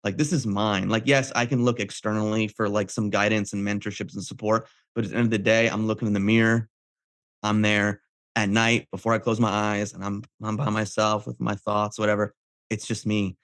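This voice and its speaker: A man's voice: lowish voice, level tone, a little fast, fairly bright tone, no echo. A middle-aged man co-hosting a podcast, talking in a casual, encouraging, motivational way with slangy address. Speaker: podcast co-host.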